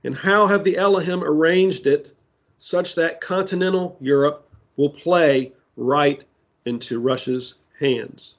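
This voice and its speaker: A man preaching a sermon that ties prophecy to current events, speaking deliberately with side remarks, his voice giving out. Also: on the high side, highs cut off. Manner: slow, deliberate delivery with asides. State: losing his voice.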